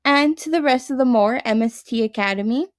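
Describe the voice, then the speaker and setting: A woman's voice: fairly high pitch, fairly quick. A young adult woman, a first grade teacher, reading a picture book aloud to her class and talking to her students.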